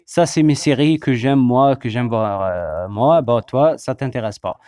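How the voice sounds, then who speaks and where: A man's voice: medium pitch, measured pace, dark tone, clear, resonant tone, no echo. A man co-hosting a casual chat, talking hesitantly with many fillers as he lists his points. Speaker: co-host.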